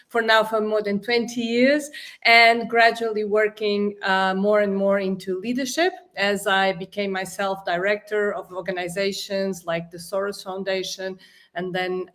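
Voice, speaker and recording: A woman's voice: mid-range voice, fairly bright tone. Woman guest. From Portugal. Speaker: guest expert on leadership. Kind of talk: interview answer.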